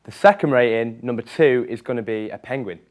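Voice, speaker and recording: A young man giving his honest ratings of places in a relaxed, hesitant chat. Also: medium pitch, brisk. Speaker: student reporter. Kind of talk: casual presenting.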